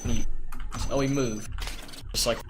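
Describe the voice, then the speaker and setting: A man's voice: mid-range voice, fairly even intonation, a little slow. Young man with a strained, cracking voice. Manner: explanatory, repeats points, filler "uh". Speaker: speedrun guide narrator. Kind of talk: step-by-step gameplay walkthrough. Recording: noisy home recording with keyboard clicks.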